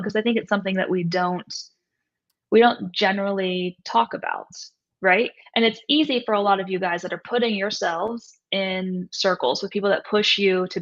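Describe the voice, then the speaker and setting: A woman's voice: medium pitch, fairly bright tone. Woman in a muffled lo-fi recording. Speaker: author and coach, interview guest. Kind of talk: casual interview talk.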